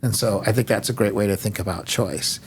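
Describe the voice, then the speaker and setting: A man's voice: on the low side, a little fast, a little hiss or hum. A man hosting a podcast, summarizing points in an easy, affirming way with light humor. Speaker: podcast host. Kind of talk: casual podcast conversation. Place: studio room.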